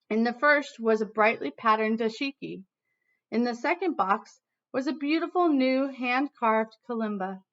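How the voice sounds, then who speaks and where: An American woman teacher reading a storybook expressively to students, pausing to explain words. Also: fairly high pitch, sharp tone. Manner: pauses to explain words to children. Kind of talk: expressive read-aloud with explanatory asides. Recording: dull, lo-fi sound.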